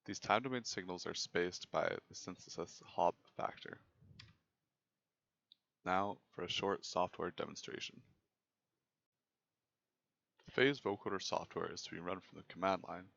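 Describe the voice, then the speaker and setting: A young man giving scripted technical narration as a presenter, in a measured, formal way. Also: deep voice, vocal fry, a bit bright, lo-fi sound. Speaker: presenter of a student software project.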